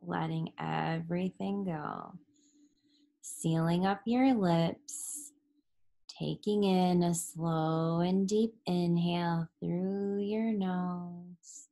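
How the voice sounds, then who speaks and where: A woman leading a guided relaxation as a yoga instructor, soothing and unhurried, with long pauses and breathy phrase endings. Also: fairly low pitch, fairly even intonation, dark tone.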